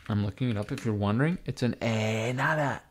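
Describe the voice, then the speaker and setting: A raspy-voiced man livestreaming from his computer, rambling casually to his chat, swearing and talking to himself as he types. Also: fairly low pitch, fairly quick, slight background noise. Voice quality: raspy, gravelly. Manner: rambling, swearing, talks to chat while typing.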